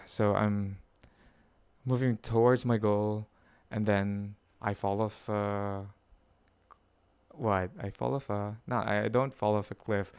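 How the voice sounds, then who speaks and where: A man in his twenties from the Philippines rambles through a personal update, pausing often and correcting himself. His voice sits a little low and comes across very clean. It is recorded close and dry, with no room sound. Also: smooth, no echo. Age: 20s. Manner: hesitant, full of fillers and self-corrections. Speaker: vlogger. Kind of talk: rambling personal chat to camera.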